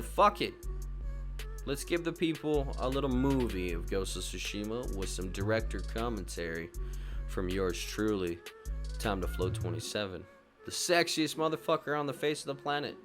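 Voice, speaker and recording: A man's voice: lowish voice, fairly bright tone. A man hosting a gaming channel with casual walkthrough commentary, brash, boastful and sweary in slangy phrasing. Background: music.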